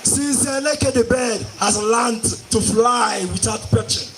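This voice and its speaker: High-pitched, defiant Nigerian Igbo chief played by a man. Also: a little slow, crowd noise. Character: aggrieved, belligerent Nigerian village chief, impatient and defiant. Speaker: stage actor. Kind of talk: declamatory stage play acting. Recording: live stage recording with music.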